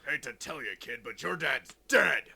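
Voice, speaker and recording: Gravelly, pompous cartoon villain. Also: male voice, a little high, lively intonation, normal speed, crowd noise. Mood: menacing, mocking. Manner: pompous, blunt, mocking. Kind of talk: cartoon parody dub.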